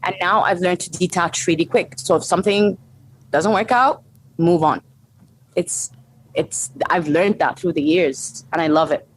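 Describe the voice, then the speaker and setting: Lower-voiced Arabic-speaking woman coach. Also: unhurried, breathy edge, poor audio. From Saudi Arabia. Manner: animated, laughs often, frequent restarts. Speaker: guest, strength and conditioning coach. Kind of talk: casual interview conversation.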